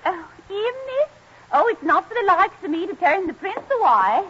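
Very high-pitched, flustered English maid. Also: female voice, big pitch swings, moderate pace, fairly bright tone, full character voice. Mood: flustered, deferential. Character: deferential English maidservant, flustered and polite. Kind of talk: old-time American radio acting. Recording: muffled, faintly noisy old radio.

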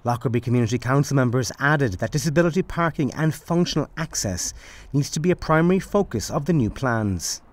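A young man, a TV news reporter reading scripted narration in a measured, formal way. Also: medium pitch, lively intonation.

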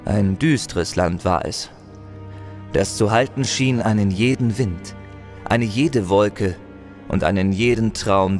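A man acting a solemn, eloquent wandering adventurer and chronicler in an audio drama, with theatrical delivery. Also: on the low side.

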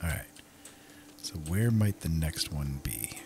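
A man's voice: extremely low pitch, big pitch swings, slow, background noise. A man hosting a gaming video with casual, playful, chatty commentary, often talking to himself.

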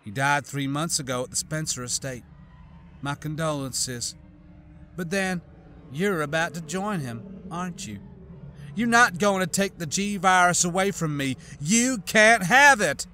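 A man voicing a grieving, half-mad woman scientist who snarls coldly and bursts into shouts. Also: fairly high pitch, a little slow, slight background noise. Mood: bitter. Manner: cold, snarling, breaking into shouts. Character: grief-crazed, bitter woman scientist.

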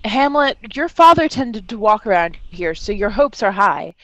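A woman's voice: mid-range voice, sing-song, normal speed, sharp tone, no room sound, muffled recording. A woman narrating a tabletop role-play podcast as game master, theatrical and slangy in her delivery.

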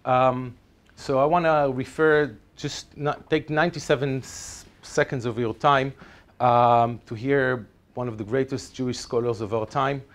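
A man's voice: mid-range voice, steady tone, measured pace. A middle-aged man with an Israeli accent, a native Hebrew speaker, giving a chatty, hesitant presentation at a teachers' workshop in a lecture room. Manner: chatty, hesitant, many ums and restarts. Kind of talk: conference presentation. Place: lecture room with projector screen.

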